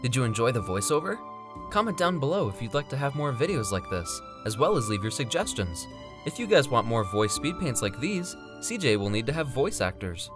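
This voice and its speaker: A man's voice: medium pitch, very animated, fairly quick, music in the background, natural voice. Man playing upbeat mage addressing his viewers. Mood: upbeat, inviting. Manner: upbeat, inviting, presentational. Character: friendly storyteller mage addressing viewers in character. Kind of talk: in-character promotional outro to viewers.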